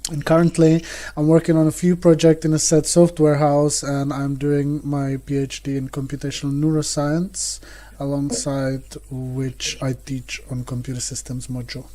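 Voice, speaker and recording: A man's voice: fairly high pitch, completely flat delivery, normal speed, dark tone. A man from the Republic, a PhD student speaking on a video-call panel. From Czech Republic.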